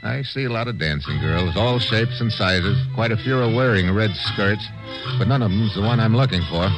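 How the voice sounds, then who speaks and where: An actor playing a tough, wry American adventurer in a 1950s radio drama, narrating and talking in terse, deadpan lines. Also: male voice, lowish voice, moderate pace, close to an everyday voice, rough recording. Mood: tense narration. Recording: old radio recording with music.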